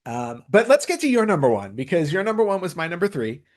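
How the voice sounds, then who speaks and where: A man's voice: high voice, sing-song, quick pace. A man talking casually as a podcast host, enthusiastic and emphatic, often repeating phrases to stress his point.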